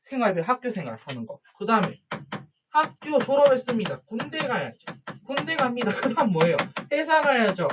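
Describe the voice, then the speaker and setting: A man teaching a high school social studies lesson, chatty, with jokey asides and quick questions to his students. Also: big pitch swings, noisy background. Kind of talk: online lecture to students. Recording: dull lo-fi recording.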